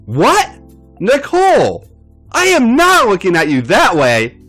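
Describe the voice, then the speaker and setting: A man reading a story aloud and voicing a nervous young woman in a high, shy falsetto. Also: wildly swooping pitch, takes it slowly, a bit bright, faint music behind, heavily acted. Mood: flustered.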